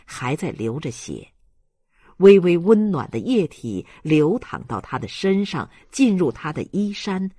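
An audiobook narrator reading a novel aloud in a measured, dramatic storytelling manner. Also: medium pitch, big pitch swings, warm tone, husky, no echo, clean studio sound.

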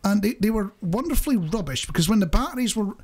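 A man's voice: high voice, lively intonation, fast, a little mellow, slightly rough. A middle-aged man hosting a radio show with casual, jokey chat, speaking into a studio microphone. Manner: jokey, chuckles often. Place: small indoor radio studio. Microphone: studio microphone on boom arm.

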